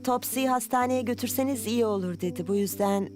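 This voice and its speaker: A woman's voice: on the high side, a little fast, darkish tone, faint music behind, lightly acted. A woman voicing a caring, reassuring mother in a children's cartoon dub.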